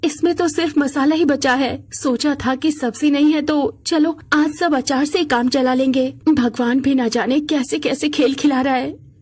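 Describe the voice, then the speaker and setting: A woman's voice: high-pitched, steady tone, moderate pace, darkish tone, natural voice. A woman voicing a poor, devoted young mother in a cartoon story, earnest and pleading. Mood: worried.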